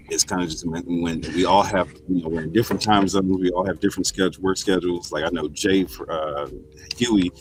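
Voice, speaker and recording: A man's voice: deep voice, background noise. A man hosting a casual group chat, telling loose stories and welcoming each guest by name. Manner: loose, storytelling, acts out quotes with raised voice. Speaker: podcast host.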